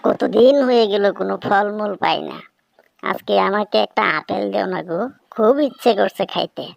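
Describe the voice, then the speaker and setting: A woman's voice: medium pitch, normal speed, vocal fry, darkish tone, no room sound, dull audio. Woman as a wheedling little girl bird. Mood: pleading. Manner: wheedling, childlike wording. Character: hungry little tailorbird daughter, pleading. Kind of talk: Bengali cartoon character dialogue.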